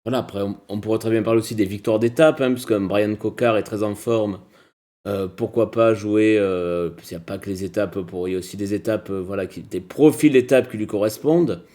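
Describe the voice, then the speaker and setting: A man's voice: lowish voice, fairly quick, mellow. A man co-hosting a cycling podcast, talking casually with many hesitations and restarts.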